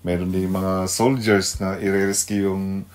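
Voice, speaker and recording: Slow, low-voiced Filipino man, halting speech. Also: level tone, a little mellow. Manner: halting, searching for words, mixes Tagalog and English. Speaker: radio devotional host, pastor. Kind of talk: unscripted devotional radio talk.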